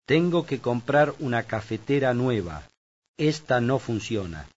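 A man reading scripted sentences for a language course, slow and clear. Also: medium pitch, big pitch swings. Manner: slow, clear read-aloud delivery. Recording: dull lo-fi tape audio.

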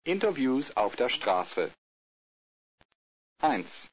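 A man's voice: medium pitch, sing-song, extremely slow, breathy edge. A man narrating a language course and acting out polite interviews, with many hesitation fillers, on a lo-fi recording. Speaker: course narrator and role-play speaker. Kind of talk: scripted language-course dialogue. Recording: lo-fi tape with faint hiss.

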